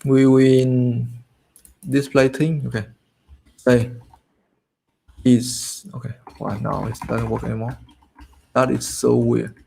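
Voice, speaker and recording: A man's voice: mid-range voice, level tone, takes it slowly, gravelly, long pauses, mellow, slightly rough. Man thinking aloud while coding. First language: Vietnamese. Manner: thinks aloud, muttering to himself with frequent fillers. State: voice getting low and tired. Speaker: coding tutorial host. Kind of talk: casual live coding walkthrough.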